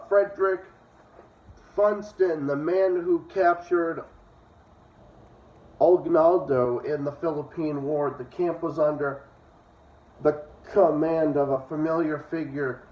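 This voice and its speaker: A man casually reading a book aloud and breaking into blunt, profane, opinionated commentary. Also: a little high. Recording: dull, echoey room, distant from mic.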